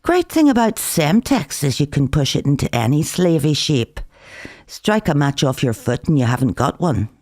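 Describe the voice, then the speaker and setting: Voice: mid-range voice, sing-song, moderate pace, darkish tone, dry recording, studio quality. A narrator voicing a defiant, sardonic young Northern Irish woman in an audiobook, brash in manner. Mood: mocking.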